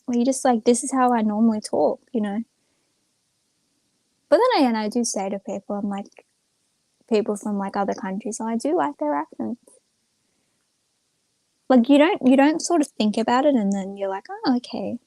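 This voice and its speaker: A woman's voice: high voice, mellow, no room sound. A young woman chatting casually to viewers on a livestream in a rambling, informal, self-effacing way. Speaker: live streamer.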